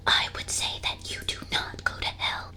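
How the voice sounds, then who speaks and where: A woman speaks in a soft whisper, right up at an ASMR microphone. She reads aloud like a streamer reacting to listeners, playful and mock-solemn in her delivery. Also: normal speed. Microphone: close ASMR microphone.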